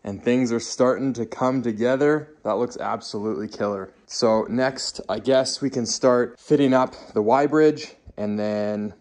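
A man's voice: medium pitch, unhurried, few pauses, a little mellow. Casual North American man, mid-range voice. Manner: informal, upbeat. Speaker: host of a truck build channel. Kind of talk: casual vlog narration to viewers.

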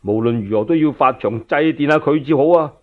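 A man's voice: mid-range voice, fast, voice pushed down, natural voice. A man voicing an earnest, grieving poor labourer. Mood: grieving, resolute. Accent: Cantonese. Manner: earnest, lamenting, exclamatory. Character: grieving, loyal poor male labourer rallying townsfolk. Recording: narrow-band, phone-like radio recording.

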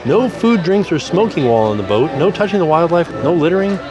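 A man's voice: a little high, animated, fairly quick, lo-fi sound, over music. A man giving informative vlog commentary outdoors at a theme park. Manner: informative, reading rules aloud. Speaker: vlogger.

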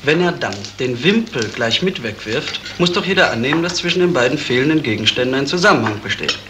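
An actor playing a polite, reasonable houseguest in a 1970s German radio crime drama, with a measured manner. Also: male voice, mid-range voice, animated, close to an everyday voice. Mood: reasoning. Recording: noisy old radio play recording.

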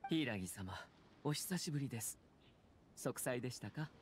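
An actor playing a composed, courteous young aristocratic lord in a Japanese anime game, formal and gently authoritative. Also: male voice, medium pitch, animated, measured pace, a little hiss or hum, natural voice.

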